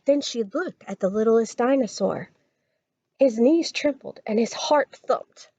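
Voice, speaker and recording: A woman reading a children's story aloud as a storytime reader, expressive and dramatic. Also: on the high side, unhurried, husky, no echo, dull audio.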